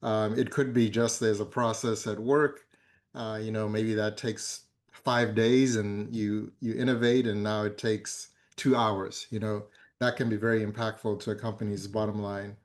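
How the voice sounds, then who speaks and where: A man in his fifties, from Zambia, speaks in a low voice that barely rises or falls. He talks as a conference guest in a fireside chat, measured and pausing often on fillers. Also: level tone. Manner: measured, with many hesitant fillers. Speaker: board member, guest speaker.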